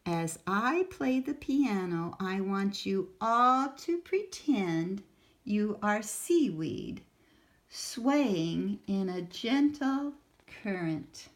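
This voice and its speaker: A woman's voice: mid-range voice, animated, very slow, voice pushed up. A woman voicing a cheerful, patient female schoolteacher in a storybook read-aloud. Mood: lively.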